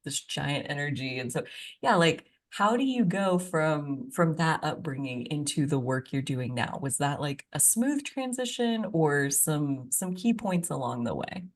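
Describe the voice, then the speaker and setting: A woman's voice: low-pitched, expressive, a little slow. A woman hosting a podcast interview, enthusiastic and rambling, with many filler "like"s. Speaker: podcast host.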